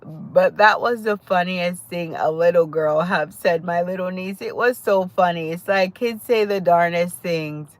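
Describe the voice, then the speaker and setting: A woman's voice: lowish voice, a little slow, few pauses, no echo. A woman from Jamaica with a Jamaican accent, chatting casually with viewers on a live stream, greeting them by name and laughing often. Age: adult. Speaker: livestream host.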